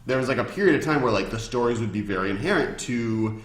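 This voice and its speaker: A man's voice: medium pitch, expressive, fast. A man explaining lore as a podcast guest in casual, informal talk peppered with "like" and "kinda". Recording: roomy, echoey sound.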